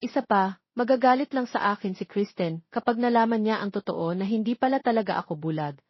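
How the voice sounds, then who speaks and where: A woman's voice: mid-range voice, fairly quick, natural voice. A woman voicing a curt, defensive, grumpy billionaire man in a romance story. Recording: muffled lo-fi, roomy echo.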